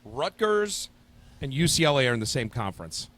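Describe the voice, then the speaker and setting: A man's voice: mid-range voice, big pitch swings, moderate pace, a bit bright. A man co-hosting a podcast, chatting casually about football with dry asides. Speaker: podcast co-host. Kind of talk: casual sports chat. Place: sports bar.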